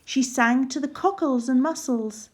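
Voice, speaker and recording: A woman narrating a rhyming children's storybook aloud in a measured, sing-song way. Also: high-pitched, a little mellow.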